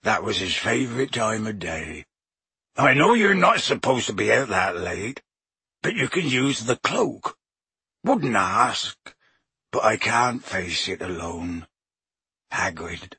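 A man doing a slow, grieving big groundskeeper. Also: lowish voice, sing-song, sharp tone, breathy edge, dry recording, exaggerated voice. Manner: slow, heavy, sorrowful. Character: large grieving male groundskeeper writing a letter.

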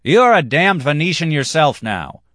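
A drunken, quarrelsome man who sneers and bellows insults across the table, as a male reader acts him out. The voice sits well above the reader's own, loud and harsh. The sound is dry. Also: on the high side, sing-song, unhurried, dry recording, pitched above the performer's own voice. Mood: sneering.

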